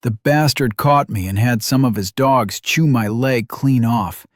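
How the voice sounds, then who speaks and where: A man voicing a badly wounded one-legged warrior in his forties, gruff and stoic, with terse warnings spoken through gritted teeth. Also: mid-range voice, measured pace, no room sound, studio quality, close to an everyday voice. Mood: grim warning. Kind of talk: audiobook character voice.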